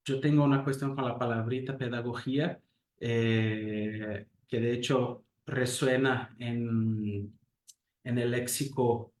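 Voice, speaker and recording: A man giving an academic talk as a lecturer, a native Portuguese speaker who speaks thoughtfully and hesitantly, pausing with fillers and asking rhetorical questions. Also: medium pitch, darkish tone. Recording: roomy echo.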